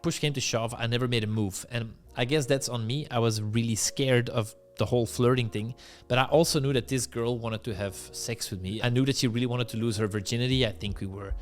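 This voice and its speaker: A man's voice: medium pitch, steady tone, fairly quick. Young Belgian-raised dating coach, candid and blunt, telling his own story to camera over a faint music bed. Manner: candid, blunt, self-deprecating.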